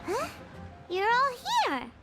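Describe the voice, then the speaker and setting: A woman voicing a cheerful, curious little fairy-like companion in a video game, childlike and perky, over loud music. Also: sing-song, slow, exaggerated voice. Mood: surprised. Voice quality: childlike, squeaky. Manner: perky, informal. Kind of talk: video game character acting.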